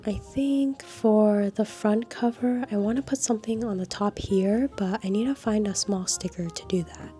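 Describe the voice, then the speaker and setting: A woman with a slightly scratchy voice from a cold, chatting casually and unhurriedly in a low-energy voice-over about her craft supplies. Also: steady tone, normal speed, hardly any pauses, mellow, soft background music. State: a little sick, scratchy voice. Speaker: art vlogger.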